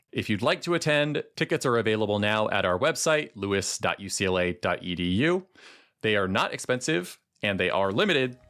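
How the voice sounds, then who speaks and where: A man hosting a policy podcast, reading a measured, earnest introduction and then interviewing a guest. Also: fairly low pitch, fairly bright tone. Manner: measured, clear and earnest delivery. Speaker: podcast host.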